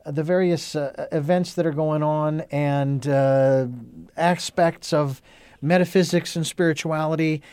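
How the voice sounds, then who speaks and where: Male talk-radio host, slightly high-pitched, earnest and rambling, close dry mic. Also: darkish tone, clear, resonant tone, dry recording. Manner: rambling, many ums and uhs, earnest. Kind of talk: casual talk radio chat.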